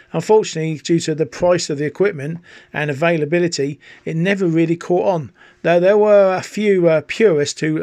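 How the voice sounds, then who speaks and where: A man's voice: fairly high pitch, no room sound. A middle-aged British man narrating an informal product history as a chatty hobbyist, with hesitant phrasing. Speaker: hobbyist video host.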